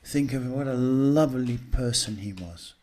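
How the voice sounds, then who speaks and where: A man hosting live psychic readings, talking casually and haltingly as he advises people, often checking in with a short questioning word. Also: medium pitch, darkish tone. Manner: halting, repeats "okay" as a check. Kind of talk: live advice to callers, casual spiritual talk.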